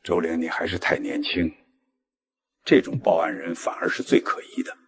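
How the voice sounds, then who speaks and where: An actor playing a seasoned old male detective near retirement, teaching with assurance, in an animated drama. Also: sits low, normal speed, husky. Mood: assured, instructive. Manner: assured, instructive. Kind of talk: Chinese animated audio drama acting.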